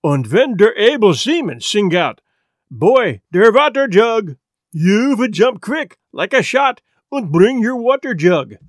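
A man's voice: high-pitched, sing-song, slow pace, no echo, clean studio sound, exaggerated voice. A man voicing a boastful, touchy old Swedish sailor with a thick Swedish accent. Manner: thick put-on accent, blustering.

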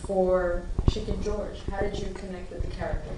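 Woman interviewer, low voice, enthusiastic questions, far off in a noisy, echoey room. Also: steady tone, normal speed. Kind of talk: press junket interview.

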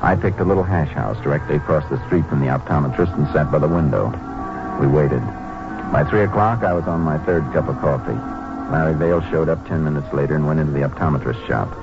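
A tough, unhurried Texas lawman with a deep, low voice. He speaks in a laconic, folksy way, in the manner of 1950s radio drama. The sound is a muffled old broadcast with music around it. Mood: steady narration. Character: tough, calm male Texas Ranger posing as a drifter. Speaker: lead actor and narrator. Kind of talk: 1950s western radio drama acting and narration.

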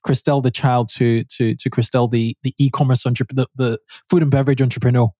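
Mellow-voiced male podcast host. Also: medium pitch, flat delivery, no room sound, clean studio sound. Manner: halting, repeats words when asking questions. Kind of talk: podcast interview with read sponsor ad.

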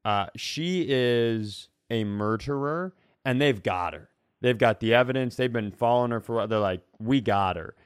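A man's voice: medium pitch, moderate pace, clean tone, no echo, studio quality. A man telling stories casually on a comedy podcast, rambling and self-mocking, with loose, sweary delivery.

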